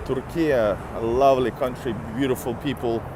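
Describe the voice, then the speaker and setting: Man, live-stream host, chatty, greets viewers by name, mid-pitched, walking outside, faint street noise. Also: fairly even intonation, moderate pace. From Finland. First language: Russian. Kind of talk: casual live-stream chat while walking. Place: city street outdoors.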